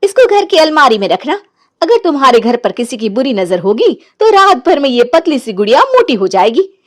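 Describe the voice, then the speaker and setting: A woman voicing a sly, gloating witch who poses as a healer, coaxing and boastful, for an animated moral story. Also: high-pitched, moderate pace.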